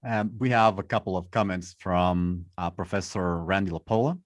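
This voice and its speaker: A man's voice: low-pitched, steady tone, moderate pace, dry recording, clean studio sound. A man moderating a question session who reads out audience comments in a plain way.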